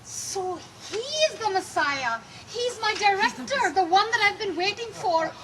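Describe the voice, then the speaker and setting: A woman's voice: very high-pitched, animated, measured pace. A woman performing a comedic dramatic reading, theatrical and gushing. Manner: theatrical, gushing, sing-song delivery. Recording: noisy live room recording.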